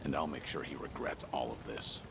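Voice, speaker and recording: A stoic underworld fighter in a video game, with an extremely deep voice. He is terse, serious and guarded, and loud street-like ambience sits behind him. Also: steady tone, fairly quick, gravelly, background noise. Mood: resolute. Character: stoic, gruff, tough underworld fighter man.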